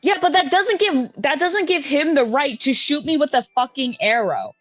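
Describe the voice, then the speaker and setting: A woman's voice: sits high, lively intonation, normal speed, fairly bright tone, no room sound, soft background music. A woman playing a tabletop game, talking emphatically, laughing often and swearing. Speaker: player. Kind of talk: casual tabletop roleplay. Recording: dry, dull lo-fi audio.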